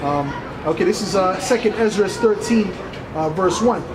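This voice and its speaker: High-voiced man preaching amid loud noise. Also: normal speed. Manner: insistent, repeatedly seeking agreement with tags like right and okay. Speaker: street preacher. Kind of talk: informal street preaching and scripture explanation. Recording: echoey, very noisy outdoor street recording.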